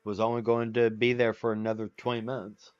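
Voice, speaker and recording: A man's voice: lowish voice, flat delivery, brisk, a little mellow, no room sound, lo-fi sound, soft background music. A man in his late thirties hosting a casual stream, rambling with lots of fillers and restarts. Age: 30s. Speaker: livestream host. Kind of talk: casual chat with viewers.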